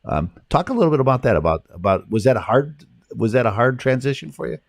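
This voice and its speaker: Chatty Chicago-accented radio host. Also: male voice, medium pitch, very animated, fast talker, creaky, dry recording, studio quality. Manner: chatty, stumbles and repeats words. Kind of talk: casual radio talk show chat. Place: radio studio.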